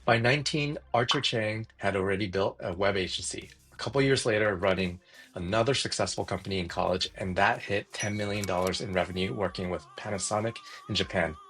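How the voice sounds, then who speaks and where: A man's voice: lowish voice, brisk, a bit bright, faint music behind. A man hosting a podcast interview, introducing a guest and chatting in a friendly way with many fillers. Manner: friendly, says "like" often. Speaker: podcast host.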